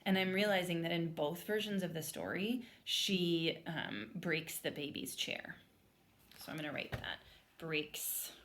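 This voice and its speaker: A woman with a slightly low voice and a lively, varied pitch. She sounds like a primary school teacher, reading aloud and thinking out loud step by step for young children. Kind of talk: storybook read-aloud and lesson for children.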